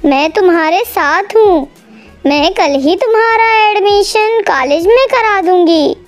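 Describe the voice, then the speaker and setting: A woman's voice: very high-pitched, animated, a little slow, faint music behind. A woman voicing a kind, protective older mother-in-law bird in a cartoon, earnest and consoling.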